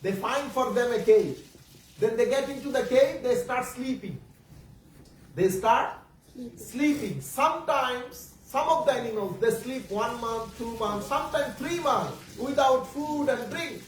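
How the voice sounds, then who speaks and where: A man whose first language is Bengali, preaching a sermon to children in English, halting and repeating himself, often asking the audience questions. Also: very high voice. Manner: halting, repetitive, searching for words. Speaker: Islamic preacher. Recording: echoey room, distant mic, faint background noise.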